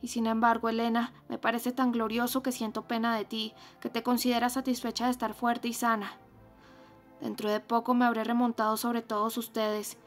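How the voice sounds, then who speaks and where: A woman reading an audiobook voices a feverish, dying young woman, speaking higher and louder in a pleading tone. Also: level tone, moderate pace, faint music behind, natural voice. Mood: yearning. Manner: pleading, raised, higher than narration.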